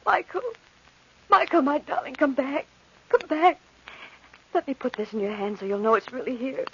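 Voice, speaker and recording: An actress playing an anxious, determined young bride in an old radio horror play, with urgent, melodramatic delivery. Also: female voice, sits high, lively intonation, normal speed, a little hiss or hum. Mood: frantic, pleading. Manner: breathless, melodramatic. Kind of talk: old-time radio horror drama with narration. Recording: muffled vintage radio recording.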